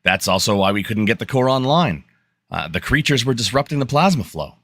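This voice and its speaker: A man's voice: mid-range voice, animated, a little fast, a bit bright, no room sound, studio quality. A man playing a practical, helpful starship engineer in a fan radio play. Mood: explaining.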